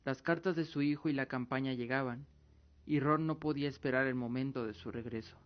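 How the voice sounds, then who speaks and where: A man's voice: mid-range voice, a little fast, dull audio. A man reading scripted dramatic narration as the storyteller of an audio drama.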